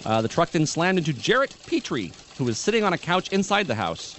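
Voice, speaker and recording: Brief: male news co-host, dry deadpan quips, faint hiss underneath. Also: a little high, fairly quick. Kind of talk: reading news items with banter.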